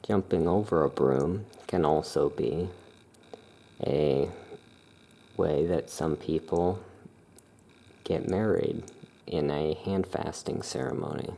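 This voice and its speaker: An ASMR performer speaking softly and calmly in the role of a witch shopkeeper, explaining items in an unhurried way. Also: a bass voice, gravelly. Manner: soft-spoken, calm, unhurried explaining. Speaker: witch shop keeper presenting amulets. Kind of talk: ASMR soft-spoken shopkeeper roleplay.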